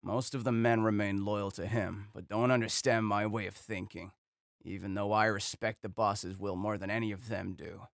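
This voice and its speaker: A man voicing a cold yakuza villain, pushing his voice low and gritty. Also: steady tone, moderate pace, vocal fry, no room sound, clean studio sound.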